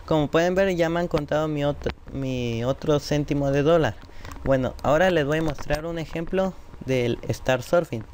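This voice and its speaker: A man's voice: on the high side, normal speed, darkish tone. A man narrating an informal screen-recorded tutorial step by step, addressing viewers directly. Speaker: tutorial presenter. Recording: noisy home computer microphone recording.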